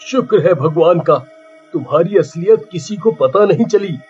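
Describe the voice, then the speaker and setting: A man's voice: high voice, lively intonation, normal speed, darkish tone, husky, full character voice. A man voicing an anxious, devoted husband in a cartoon, urgent and melodramatic. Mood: worried. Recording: dull lo-fi track with background music.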